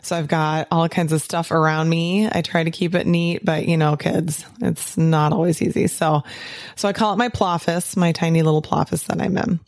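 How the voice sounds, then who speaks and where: A woman hosting a podcast from a small home office, chatting casually and laughing often, her voice a little hoarse from a cold. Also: low-pitched, steady tone, normal speed, darkish tone, dry recording, clean studio sound. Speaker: podcast host.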